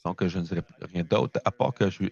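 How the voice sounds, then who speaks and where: A man giving a conference talk over a video call, halting, with many fillers, speaking French as a second language. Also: deep voice, gravelly, a little mellow, no room sound, muffled recording. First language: not French. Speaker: presenter at a launch event. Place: remote location, speaking in from afar.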